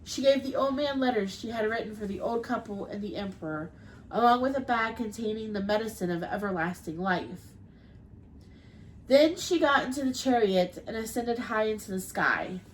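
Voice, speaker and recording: A woman's voice: on the high side, normal speed, slight background noise, rough recording. Woman with a cold reading a folk tale. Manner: reads with small slips and restarts. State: has a cold. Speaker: podcast co-host. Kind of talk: reading a folk tale aloud, then casual chat.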